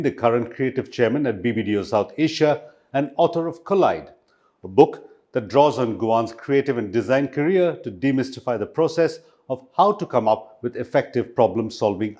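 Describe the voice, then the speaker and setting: A man with an Indian accent hosting a podcast, reading a scripted introduction and asking measured, articulate questions. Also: medium pitch, animated, darkish tone. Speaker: podcast host.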